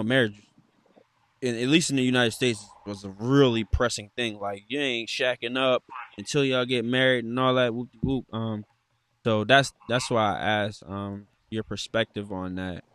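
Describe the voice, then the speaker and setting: A man hosting a casual interview podcast, laid-back and halting, with slangy phrasing. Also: medium pitch, steady tone, a bit bright, no echo.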